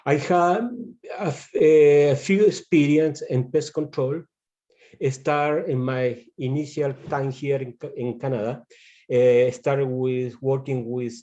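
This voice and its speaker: A man from Chile with a Chilean Spanish accent gives a webinar presentation as a pest control specialist, in halting non-native English. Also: medium pitch, dark tone, highs cut off.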